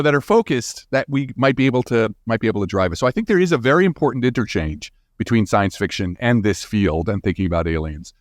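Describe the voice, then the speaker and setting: A man's voice: mid-range voice, no echo, clean studio sound. A man speaking as a guest scientist in a conversational interview, enthusiastic and emphatic, often tagging his points with "right?".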